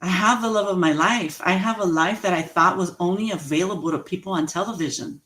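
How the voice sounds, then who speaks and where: A woman in her forties giving an inspirational talk, slow and emphatic, repeating key phrases and laughing at her own jokes. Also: fairly low pitch, fairly bright tone. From Mexican heritage, raised in the US. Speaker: motivational speaker. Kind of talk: inspirational speech.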